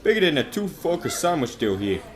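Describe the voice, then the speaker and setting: Guy doing a pushy talent scout for a radio play, slick hustler, pitch swings all over. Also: sing-song, fairly quick. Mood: brash, persuasive. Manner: salesman-like hustling patter. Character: fast-talking showbiz scout, slick, brash opportunist. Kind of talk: amateur radio drama acting.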